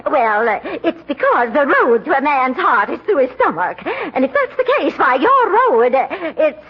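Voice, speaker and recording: A woman's voice: high-pitched, sing-song, normal speed. A woman playing a gushing, fluttery, flirtatious spinster neighbour in a 1940s radio comedy. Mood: coy, flustered. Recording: muffled, noisy old radio recording.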